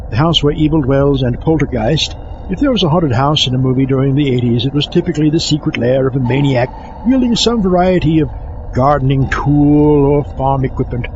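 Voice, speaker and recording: A man acts a fussy, self-important horror film buff in a comic audio show. His voice sits fairly high and swings about as he lectures in a pompous, easily exasperated way. The recording is muffled, with a slight noise behind it. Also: lively intonation, a little fast. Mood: pompous lecturing. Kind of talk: comic scripted film-history monologue.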